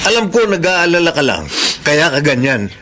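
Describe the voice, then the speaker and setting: An earnest village family man, with a somewhat high male voice. He speaks patiently and soothingly in a radio drama, with faint noise behind. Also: big pitch swings, moderate pace, sharp tone. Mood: soothing, firm. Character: kind, faithful village husband and father. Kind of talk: Filipino radio drama acting.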